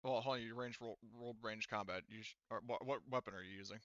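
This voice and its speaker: A man's voice: on the low side, steady tone, fast talker, a bit bright, no echo. A man acting as game master, narrating a tabletop game in a casual, rambling, informal way. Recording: dry, slightly muffled voice mic.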